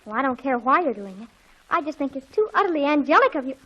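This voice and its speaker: A woman playing an excitable, dramatic teenage girl in a 1940s radio comedy, breathless and emphatic. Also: sits high, fast, dull audio. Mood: gushing. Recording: old 1940s radio broadcast.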